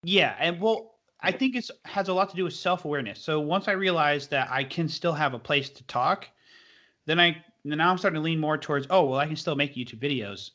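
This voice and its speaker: Bright-voiced, rambling young podcast host. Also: male voice, a little high, brisk. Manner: rambling and enthusiastic, heavy use of fillers. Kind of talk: casual podcast chat.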